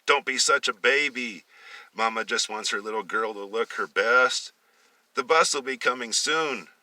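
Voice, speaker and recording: A man's voice: mid-range voice, unhurried, pushed and bright, dry recording, recorded from a distance, pitched above the performer's own voice. A man voicing an unhinged, overbearing mother in a story reading. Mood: insistent, coaxing. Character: unhinged, overbearing mother forcing her wishes on her son.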